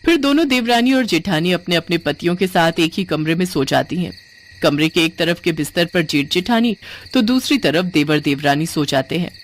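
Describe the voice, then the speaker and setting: A woman's voice: lowish voice, slight background noise. A woman narrator reading a scripted family story.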